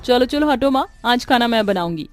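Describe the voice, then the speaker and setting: A woman acts a twenty-year-old witch's daughter in a cartoon tale. Her voice is fairly high and youthful. She questions and coaxes in a caring way, with faint music behind. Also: expressive, a little fast, lightly acted. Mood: affectionate, coaxing. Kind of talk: Hindi cartoon story voice acting.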